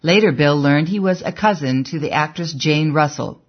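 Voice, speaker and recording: Audiobook narrator, measured storytelling, husky voice, dull lo-fi recording. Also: mid-range voice. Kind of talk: scripted narration.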